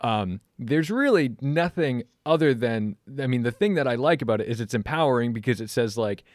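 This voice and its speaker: A man interviewing a guest in casual chat, rambling and energetic after too much coffee. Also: mid-range voice, moderate pace, dry recording, studio quality. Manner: chatty, rambling, lots of fillers and laughs. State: caffeinated, energetic.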